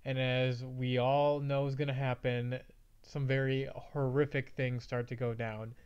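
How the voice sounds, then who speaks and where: A man's voice: mid-range voice, little pitch movement, unhurried, very noisy. A man hosting a casual movie review, his voice slightly off from a mild cold. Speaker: movie review show host. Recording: slightly dull, band-limited audio.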